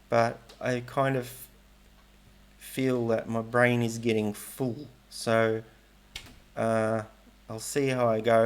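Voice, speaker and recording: A man's voice: mid-range voice, gravelly. A middle-aged man talking casually in a vlog, rambling and hesitant, often restarting and correcting himself. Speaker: language learning vlogger.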